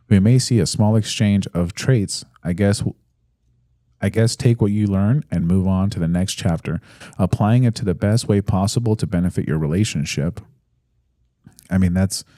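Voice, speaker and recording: A man in his thirties co-hosting a podcast in casual chat, reading listener comments aloud in a relaxed, hesitant way with fillers. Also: low-pitched, no echo, studio quality. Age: 30s. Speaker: podcast co-host.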